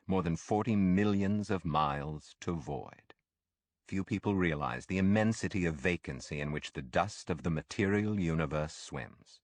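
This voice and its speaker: A man with an extremely deep voice narrates classic fiction in a dry, echo-free recording. His delivery is measured and expressive, in the manner of a practised audiobook storyteller reading scripted literary prose.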